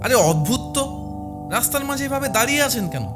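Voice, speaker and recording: A man playing an impulsive young friend in an animated horror story. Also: very high-pitched, a little fast, over music. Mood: annoyed.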